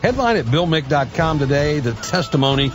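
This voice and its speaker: A man's voice: a little high, very animated, fairly quick, over music. An American man hosting a morning talk radio show in a casual, chatty style. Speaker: radio host.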